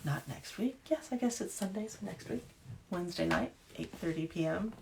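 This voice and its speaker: Low-voiced woman, 30s, reading her book on a home webcam stream, whispery then chatty. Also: animated, moderate pace, gravelly, noisy background. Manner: hushed, whispery reading; chatty with fillers in talk. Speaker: author reading her own novel live. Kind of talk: storybook reading then casual chat. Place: dim room at home.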